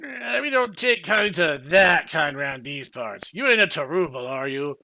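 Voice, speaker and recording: A man's voice: fairly high pitch, animated, a little slow, creaky, pushed and bright, no room sound, exaggerated voice. A man voicing gullible, folksy villagers in a dramatic reading of a game story. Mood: suspicious. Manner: folksy country phrasing. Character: gruff, gullible rustic villagers with farm tools.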